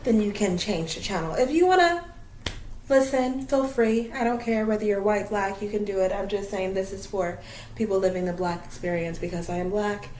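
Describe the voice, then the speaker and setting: A woman's voice: mid-range voice, normal speed, a little mellow, a little hiss or hum. A woman from Minnesota giving a blunt, opinionated vlog rant, swearing casually. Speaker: vlogger. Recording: roomy echo.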